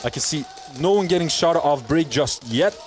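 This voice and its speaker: A young man from Belgium hosting a live sports stream, chatting with viewers and calling the play with rising excitement. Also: fairly high pitch, normal speed, music in the background. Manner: chatty, reads out chat messages, laughs often, excited during play. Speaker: livestream commentator. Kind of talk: casual stream chat and play-by-play commentary.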